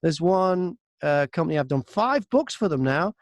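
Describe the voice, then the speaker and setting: High-voiced man telling anecdotes. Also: big pitch swings, moderate pace, no room sound. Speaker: audiobook narrator and voice-over artist, interview guest. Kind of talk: casual interview anecdotes. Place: inside a wardrobe used as a home booth.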